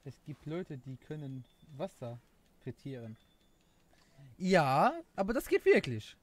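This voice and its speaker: A young man with a Luxembourgish accent rambles through a casual game stream, often searching for the right word. His voice sits a little high and swings widely in pitch. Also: huge pitch swings, slow. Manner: rambling, laughing, self-mocking, searches for words. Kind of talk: casual gameplay stream chat.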